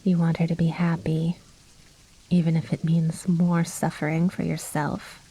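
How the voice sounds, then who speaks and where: A woman voicing a commanding, seductive vampire noblewoman in a soft, breathy, coaxing whisper for an ASMR roleplay. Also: low-pitched, level tone, heavily acted, low-quality recording. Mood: coaxing, hypnotic. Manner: slow, coaxing, silkily condescending.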